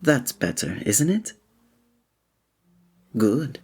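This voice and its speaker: An actor playing a flirtatious, courtly male village innkeeper in an ASMR roleplay, speaking in a formal, breathy way. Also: mid-range voice, big pitch swings, extremely slow, darkish tone, heavily acted. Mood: welcoming.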